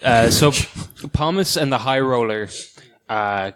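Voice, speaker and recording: A man's voice: medium pitch, slow pace, fairly bright tone, clear, resonant tone. A man co-hosting an improvised comedy podcast, explaining absurd details matter-of-factly. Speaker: podcast co-host.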